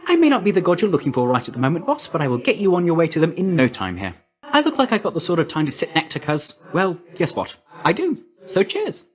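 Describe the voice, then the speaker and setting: A man voicing a breezy, chummy young messenger god in a video game, with a slangy, glib, friendly manner. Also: a little high.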